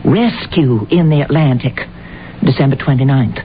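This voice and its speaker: An actress playing an eager, nosy and self-assured amateur sleuth in an old-time radio drama, with an excitable, stammering delivery. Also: female voice, a bass voice, moderate pace. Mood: intent, excited. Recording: tinny, noisy old radio recording.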